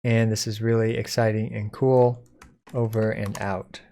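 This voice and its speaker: Man casually walking through a software tutorial. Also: on the low side, level tone, measured pace, creaky. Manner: informal, explaining step by step. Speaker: tutorial presenter. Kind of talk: casual code-along software tutorial narration. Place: indoors at a computer, screen recording.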